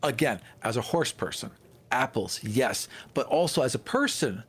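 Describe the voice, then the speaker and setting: A man's voice: mid-range voice, lively intonation, moderate pace, fairly bright tone, husky. Opinionated man chatting at a game table. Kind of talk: casual game-table chat.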